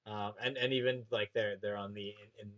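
Low-voiced Canadian man, rambling chat. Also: fairly even intonation, moderate pace, a bit bright, rough recording. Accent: Canadian prairie. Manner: rambling, many fillers and restarts. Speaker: teacher. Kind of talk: casual podcast chat.

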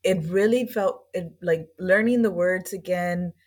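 Low-voiced woman telling her story. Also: measured pace, darkish tone. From East Los Angeles, USA. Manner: earnest, reflective, loose and chatty phrasing. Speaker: guest, language school founder. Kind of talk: casual interview storytelling.

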